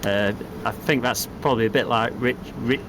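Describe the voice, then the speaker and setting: A middle-aged man from Wales speaking casually and explaining things in a measured way as a guest on a radio contact. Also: mid-range voice, background noise. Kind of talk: casual chat.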